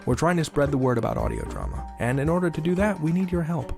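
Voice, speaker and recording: A man's voice: fairly high pitch, wildly swooping pitch, quick pace, creaky. A man hosting a podcast, reading scripted announcements in a theatrical, playful manner. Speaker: podcast host. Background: music.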